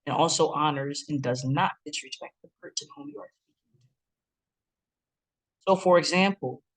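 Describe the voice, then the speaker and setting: A relationship educator with a deep, booming chest voice, giving a lively instructional talk. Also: normal speed, poor audio. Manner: lively, breaks into acted quotes and laughs.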